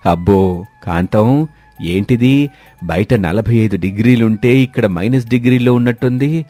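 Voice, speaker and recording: A man's voice: mid-range voice, measured pace, dark tone, dry recording, soft background music, natural voice. Man playing a wry father-in-law, dry recording. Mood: amazed, shivering. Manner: joking, exclamatory questions. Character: wry, teasing father-in-law of a village family. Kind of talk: Telugu comic cartoon story acting.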